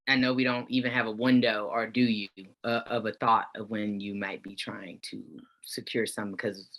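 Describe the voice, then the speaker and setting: A man's voice: lowish voice, level tone, normal speed, a bit bright. A man speaking as an actor in casual chat on a video call. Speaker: actor at a table read. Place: virtual meeting on a video call.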